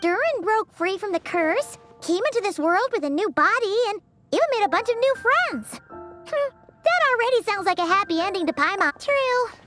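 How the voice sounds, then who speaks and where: Video game sprite companion: tiny childlike female, very high and squeaky, animated, impatient, exclamatory. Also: exaggerated voice. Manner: exclamatory, refers to herself by name. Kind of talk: video game character acting. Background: background music.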